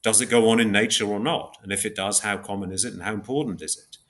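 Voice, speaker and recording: A man explaining ideas as an interview guest in a measured, precise academic way, often repeating and reformulating phrases as he thinks. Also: fairly low pitch, fairly bright tone.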